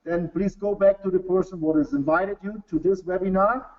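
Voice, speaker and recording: A German-accented man with a fairly high voice presents to a webinar audience, earnest and halting as he restarts his non-native English. The audio is dull and lo-fi. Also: steady tone, darkish tone. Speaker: company executive presenting to a webinar.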